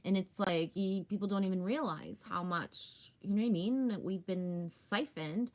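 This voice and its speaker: A woman's voice: mid-range voice, moderate pace, a little mellow, poor audio. A woman of about fifty chatting casually as a livestream host, rambling and emphatic, candid, often tagging her points with a questioning check. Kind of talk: casual spiritual livestream chat.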